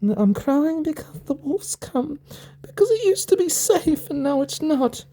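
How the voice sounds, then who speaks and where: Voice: high-pitched, big pitch swings, measured pace, mellow, pitched above the performer's own voice. A storybook reader voicing an anxious, plaintive young child. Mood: crying, frightened.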